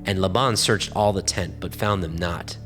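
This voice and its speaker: A man narrating scripture from a script in a formal, measured way. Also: low-pitched, music in the background.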